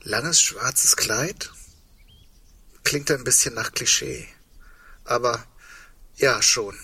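A reserved, earnest man in an intimate radio drama. His voice is very rough and breathy, with a hard, tense edge. He talks in terse, hesitant, understated phrases. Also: medium pitch, very slow, sharp tone, heavily acted. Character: reserved, diligent married man awaiting the world's end. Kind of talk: naturalistic radio play dialogue.

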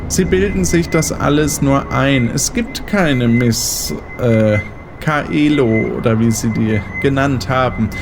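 A man's voice: medium pitch, takes it slowly, noisy background. An actor playing a smug, menacing male pursuer in a radio play, condescending and theatrical.

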